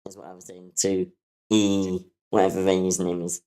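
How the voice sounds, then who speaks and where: A woman's voice: very deep voice, level tone, normal speed, warm tone. A woman talking casually in an online voice chat, like a community member helping out.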